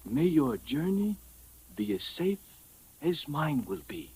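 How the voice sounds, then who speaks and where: An actor playing a solemn, mystical temple sage in an old radio drama, husky, hushed and ceremonious. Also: male voice, fairly high pitch, sing-song, rough recording. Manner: slow, ceremonious. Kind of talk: 1950s radio drama acting.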